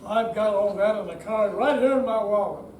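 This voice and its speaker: A man's voice: sits high, normal speed, a little mellow, close to an everyday voice. An older man playing a grumbling but trusting bank customer in an amateur stage reading. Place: indoor senior center performance room. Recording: distant echoey hall, faint noise.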